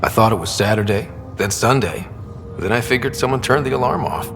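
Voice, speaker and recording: Actor as gravelly, wry young man. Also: lowish voice, a little fast, long reverb tail, over music, close to an everyday voice. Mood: wry, teasing. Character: wry, easygoing young man, the heroine's brother. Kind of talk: video game dialogue acting.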